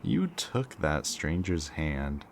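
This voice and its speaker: A man playing a smug, taunting kidnapper villain in an audio roleplay, with a mocking, theatrically drawn-out delivery. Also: extremely low pitch, big pitch swings, vocal fry, slight background noise, exaggerated voice. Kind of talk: scripted audio roleplay, ASMR.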